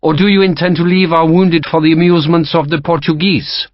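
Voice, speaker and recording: A man voicing a smooth, politely mocking young French officer in an audiobook. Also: a little high, steady tone, fairly quick, dry recording, muffled recording, voice pushed up, natural voice. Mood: suave.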